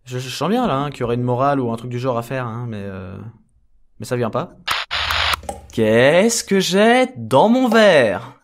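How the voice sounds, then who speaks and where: A man's voice: medium pitch, lively intonation, normal speed, natural voice. A man playing a weary, sarcastic henchman, hesitant and exasperated, in a comedic audio drama. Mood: deadpan. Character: weary, sarcastic male henchman surveying his colleagues.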